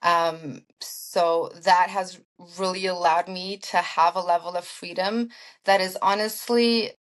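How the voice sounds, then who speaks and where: A woman from Armenia with a lowish voice, appearing as a podcast guest. She talks reflectively in halting phrases, often restarting her sentences. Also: steady tone. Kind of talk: casual interview chat.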